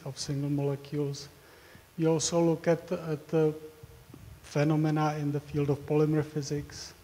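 A man's voice: on the high side, warm tone, slight background noise. Hesitant man lecturing in a hall. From Czech Republic. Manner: hesitant, with frequent fillers and repeated words. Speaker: professor giving a research talk. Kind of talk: academic presentation.

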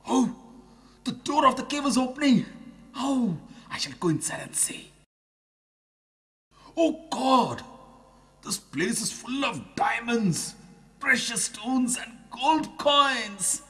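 An eager young woodcutter gasps and exclaims his way through a children's cartoon. His voice is husky and rough, and his pitch leaps about as he marvels and frets. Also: animated, slow pace, pauses often, pushed and bright, exaggerated voice. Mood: amazed. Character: poor young woodcutter, excitable and timid. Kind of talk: animated children's story dub.

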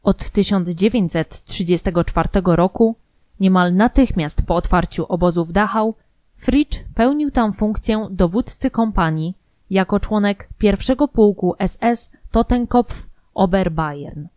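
A woman's voice: mid-range voice, measured pace, mellow, no echo. A woman reading scripted biographical documentary narration. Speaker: narrator of a true-crime history audio programme.

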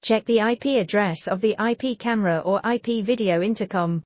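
A woman reading a scripted software tutorial in a measured, instructional manner. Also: mid-range voice, dry recording, highs cut off. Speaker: tutorial narrator.

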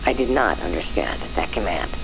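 Man doing a gravelly, deep starship computer. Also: animated, moderate pace, background noise. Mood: flat. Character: flat, monotone starship computer voice.

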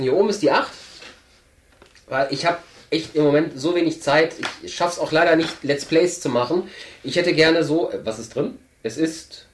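A man's voice: on the high side, a little hiss or hum. A young man with a stuffy nose, chatting casually on camera from a table indoors as he opens an advent calendar, rambling with lots of hesitant fillers. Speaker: channel host. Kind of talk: casual chat while opening an advent calendar. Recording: roomy, echoey room sound.

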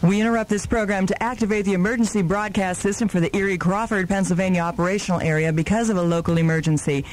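A woman's voice: mid-range voice, steady tone, fast talker, hardly any pauses, noisy background. A woman announcing as a music radio disc jockey, recorded off air in the 1980s. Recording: 1980s radio aircheck tape.

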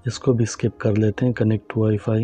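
Low, flat-voiced man walking through steps. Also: moderate pace, husky, soft background music. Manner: calm, instructive, addresses viewers as friends. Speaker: tech tutorial host. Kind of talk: step-by-step phone tutorial narration.

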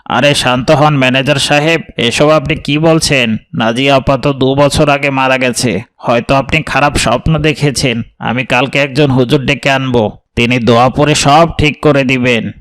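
A man playing a calm, respectful hostel watchman in a cartoon. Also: mid-range voice, normal speed, natural voice. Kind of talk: cartoon voice acting.

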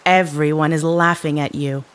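An actress playing a composed, cutting, otherworldly woman in an audio drama, with measured and formal phrasing. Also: low voice, fairly even intonation, clean tone, close to an everyday voice. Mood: cold taunting.

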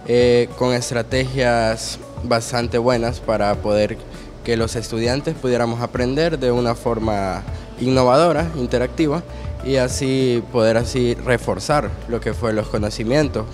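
A young student talks earnestly in a slightly formal way. He speaks into a handheld mic indoors, with music running clearly behind. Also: mid-range voice, fairly even intonation, normal speed, a little mellow. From El Salvador. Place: university event hall, indoors.

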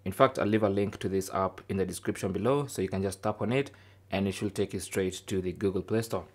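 A man's voice: sits low, steady tone, fast talker, darkish tone. A man hosting an informal tech tutorial, explaining things casually step by step. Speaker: host of a tech tips show.

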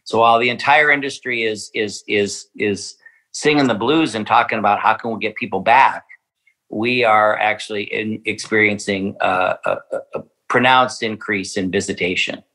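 A man's voice: lowish voice, moderate pace, pushed and bright, rough recording. A man from a winery speaking in a podcast interview, hesitant, with many fillers and restarts. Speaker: winery representative.

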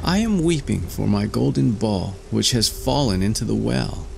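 A man putting on a higher-pitched haughty young princess. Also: expressive, normal speed, vocal fry, a little mellow, slight background noise. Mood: sorrowful. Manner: archaic, exclamatory speech. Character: haughty, fickle young princess from a fairy tale.

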